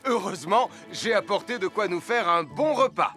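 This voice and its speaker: A friendly cartoon firefighter with a fairly high voice. He explains things clearly and reassuringly, like a responsible grown-up, with prominent music behind him. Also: expressive, normal speed, bright, forceful tone. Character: friendly, responsible adult male firefighter giving safety advice. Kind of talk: French-dubbed children's cartoon acting.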